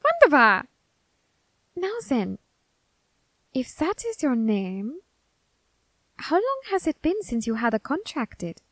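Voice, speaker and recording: A woman voicing a curious, androgynous grim reaper in an ASMR roleplay who questions a captive demon in a slow, teasing, softly whispered way. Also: sits high, huge pitch swings, no echo, heavily acted.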